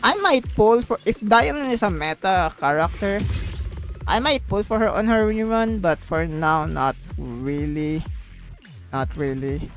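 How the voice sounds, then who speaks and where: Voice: medium pitch, measured pace, a bit bright, soft background music. A streamer chatting casually on a livestream in a rambling way, often repeating phrases.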